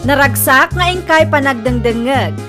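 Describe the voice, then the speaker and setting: A woman with an Ilocano Filipino accent announcing a radio drama in a scripted, theatrical, drawn-out delivery. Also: sits high, loud music behind the voice.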